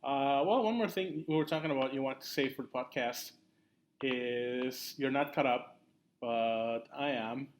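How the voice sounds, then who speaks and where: A man's voice: mid-range voice, measured pace, slight background noise. A young man with a slight Mexican accent, co-hosting a casual podcast and explaining things at length with many fillers. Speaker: podcast co-host. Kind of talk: casual chat.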